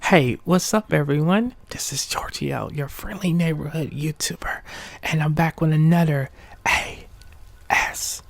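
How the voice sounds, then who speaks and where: A man hosting an ASMR bedtime story, speaking softly and often whispering, with a relaxed, informal manner. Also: fairly high pitch, unhurried, creaky. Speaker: ASMR video host and storyteller. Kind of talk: ASMR bedtime story reading, casual asides.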